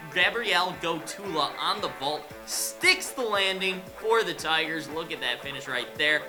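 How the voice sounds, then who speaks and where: A man's voice: fairly high pitch, poor audio. A young man delivering sports news and live play-by-play commentary as an anchor and commentator. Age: young adult.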